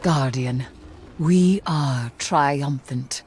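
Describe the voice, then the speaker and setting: A woman playing a grim, vengeful sorceress queen in a video game, speaking in a solemn, deliberate, imperious way. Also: a bass voice, husky, full character voice. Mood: grave triumph. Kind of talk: dramatic video game cutscene acting. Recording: loud music under the voice.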